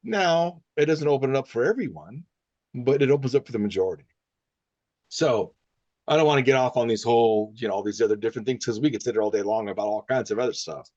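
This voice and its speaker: A man leads a casual group talk as a speech therapist, rambling a little with restarts and quick bursts. His voice sits mid-pitch, and the recording is slightly muffled and dry. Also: a little mellow, no room sound. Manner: conversational with many fillers and restarts, sometimes rapid. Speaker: speech-language pathologist leading a discussion.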